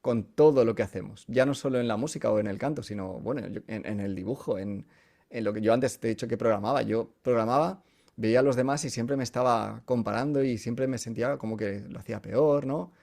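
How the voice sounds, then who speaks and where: A man's voice: medium pitch, fairly quick, vocal fry, darkish tone. A man with a Spanish accent from Spain, a voice coach chatting as a podcast guest and explaining with everyday examples and check-in questions. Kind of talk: casual explanatory interview chat.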